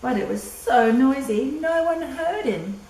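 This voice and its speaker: An older woman reading a picture book aloud to children, deliberate and drawn-out, with little asides. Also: high voice, expressive. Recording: echoey room, distant mic, faint noise.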